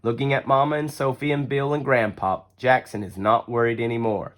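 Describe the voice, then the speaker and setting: A man reading a children's picture book aloud as an expressive, deliberate storyteller. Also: mid-range voice. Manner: deliberate storytelling with acted-out dialogue. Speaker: story reader.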